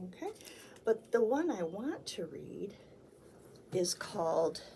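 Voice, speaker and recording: A woman's voice: fairly low pitch, gravelly, darkish tone. Woman reading to children, faint background noise. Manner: simple, deliberate phrasing addressed to young children. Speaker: children's librarian, storytime host. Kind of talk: picture-book read-aloud for small children.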